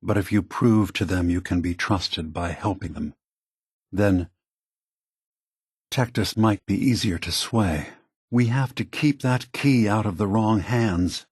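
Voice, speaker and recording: A man playing a weary, peace-seeking synth leader in a video game, speaking gravely and deliberately with a husky voice. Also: lowish voice, no room sound, clean studio sound. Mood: thoughtful, measured. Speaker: game character. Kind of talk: scripted video game dialogue.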